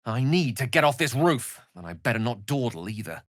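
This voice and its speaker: Eloquent, expressive male wizard voiced by an actor. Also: mid-range voice, a little fast, dry recording, studio quality, lightly acted. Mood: urgent, wary. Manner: theatrical, articulate, wordy and formal. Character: learned, courtly male human wizard, eloquent and earnest. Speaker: video game voice actor. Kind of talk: scripted fantasy game dialogue.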